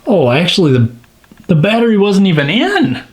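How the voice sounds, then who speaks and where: A man's voice: high voice, wildly swooping pitch, normal speed, noisy background. A man giving casual, informal hands-on commentary, thinking aloud as he works. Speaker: watch restoration video host.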